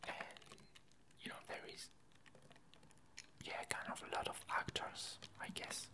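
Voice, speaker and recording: A woman whispering a casual ASMR update, talking in halting, softly hesitant phrases. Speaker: ASMR video creator.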